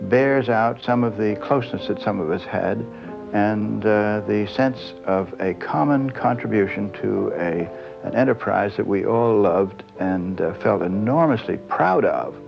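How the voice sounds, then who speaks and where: Older male interviewee, low and creaky, reflective and halting, muffled recording with prominent music. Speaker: former magazine journalist interviewed. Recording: dull lo-fi sound, music behind.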